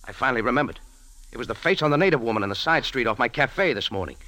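Wisecracking tough adventurer, animated delivery. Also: male voice, mid-range voice, very fast, no room sound. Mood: cool, wry. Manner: wry, punchy hardboiled delivery. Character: tough, wisecracking adventurer café owner narrating his exploits. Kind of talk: 1940s radio adventure narration and acting. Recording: 1940s radio recording with prominent music.

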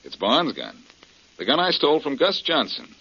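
A man's voice: on the high side, wildly swooping pitch, moderate pace, pushed and bright, husky, exaggerated voice. A man playing a cool, clever amateur detective in an old radio mystery, wry and self-assured. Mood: wry, confident. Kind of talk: 1940s radio crime drama acting. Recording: muffled, noisy old-time radio recording.

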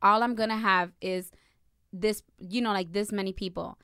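A woman's voice: mid-range voice, clear, resonant tone, no room sound, clean studio sound. A young woman from New Jersey, co-hosting a casual chat with her partner, protesting and interrupting with a lot of energy. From New Jersey, USA. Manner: animated, quick to interrupt and protest. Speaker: podcast co-host. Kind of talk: casual couple banter.

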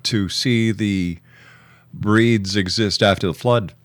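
Low-voiced male radio host. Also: fairly even intonation, slow, no echo. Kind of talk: talk radio interviewing.

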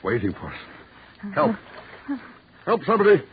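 A man's voice: high voice, huge pitch swings, unhurried, gravelly, full character voice. An actor playing a terrified man battling a monster, in broken, melodramatic phrases of 1940s radio horror. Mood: panicked. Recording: noisy, muffled old radio recording.

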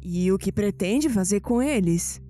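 An actress playing a wise, mystical old Brazilian grandmother in an audio drama, solemn, affectionate and measured. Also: mid-range voice, expressive, mellow, natural voice. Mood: tender. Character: tender, wise, mystical old grandmother revealing family secrets. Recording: music bed under the voice.

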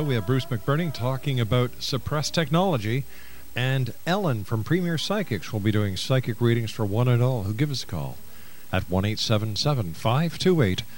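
A man hosting a radio show, interviewing a guest and reading out announcements. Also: fairly low pitch. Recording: hissy radio broadcast.

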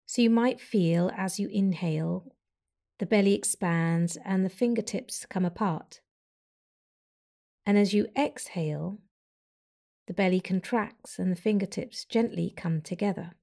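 A woman guiding a breathing meditation with slow, soft, soothing instructions and long pauses. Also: medium pitch, vocal fry. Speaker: meditation guide.

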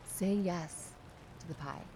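Self-assured young woman, teasing, lowish pitch, audio drama, background noise. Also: slow pace. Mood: teasing, reassuring. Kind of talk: audio drama acting, conversational.

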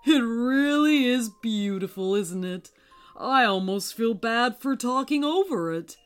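A woman as a slow, kindly old man moon. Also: on the high side, expressive, soft background music. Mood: gentle, awed. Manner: polite, slow, gently musing.

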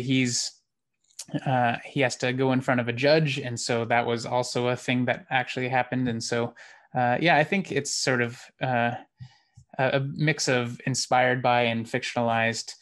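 A man's voice: mid-range voice, fairly bright tone. A man talking casually as an interview guest, searching for his words as he goes. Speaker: interview guest, theatre producer and actor.

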